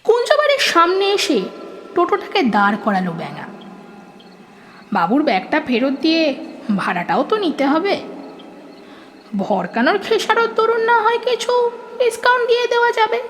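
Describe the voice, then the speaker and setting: A woman narrator reading a scripted horror story in an expressive, suspenseful, dramatic storytelling manner. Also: very high voice, normal speed, long pauses, slight background noise.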